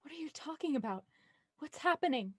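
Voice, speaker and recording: A woman's voice: high-pitched, expressive, a little fast, no echo, studio quality. An actress playing a frightened but defiant young woman in an audio thriller, tense, emotional and challenging. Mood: wary, defiant. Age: young adult.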